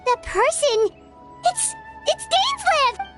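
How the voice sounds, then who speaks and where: A woman voicing a tiny, pert, childlike fairy companion in a video game, squeaky and expressive. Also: takes it slowly, over music, full character voice. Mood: worried, startled.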